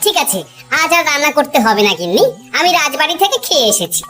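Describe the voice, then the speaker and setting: A very high, pitched-up cartoon voice swings wildly up and down over music. It is a clever court wit, teasing and mock-polite. He coaxes with glib ease. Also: sing-song, fast. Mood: concerned, placating. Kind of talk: animated cartoon dialogue. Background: children's cartoon music.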